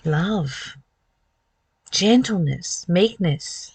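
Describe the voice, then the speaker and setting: A woman's voice: lowish voice, animated, very slow, a little mellow, husky, no echo, rough recording. A woman giving a spiritual talk as a teacher, reading and reflecting with rhetorical questions.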